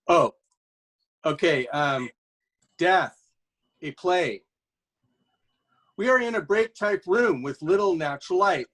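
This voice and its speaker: An obliging male student reading out stage directions in a web comedy. His voice sits a bit high for a man, and his delivery is plain and slightly tentative. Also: fairly bright tone.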